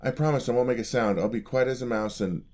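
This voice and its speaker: A man's voice: mid-range voice, fast talker, lightly acted. A man playing a sweet, eager young paladin apprentice in a fantasy ASMR roleplay, speaking politely and earnestly to the listener. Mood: shy, polite. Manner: polite, earnest, gently encouraging.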